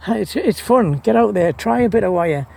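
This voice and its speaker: Man, slightly high pitch, gravelly creak, casual monologue, enthusiastic, halting repetitions. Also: lively intonation, a little mellow. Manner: enthusiastic, hesitant with repeated words. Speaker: amateur radio hobbyist vlogger.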